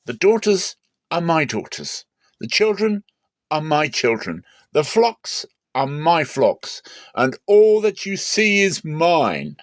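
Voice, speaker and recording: A man's voice: a little high, sing-song, slow, no echo, clean studio sound, pitched above the performer's own voice. A man voicing a possessive, stern older patriarch in a dramatic scripture reading, speaking with emphatic, insistent declamation.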